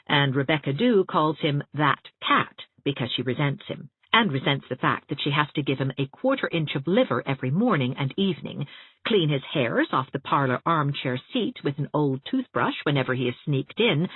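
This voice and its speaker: Husky, expressive woman storyteller. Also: deep voice, narrow, tinny audio. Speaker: audiobook narrator. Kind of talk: expressive scripted narration of a novel. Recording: roomy, thin band-limited sound.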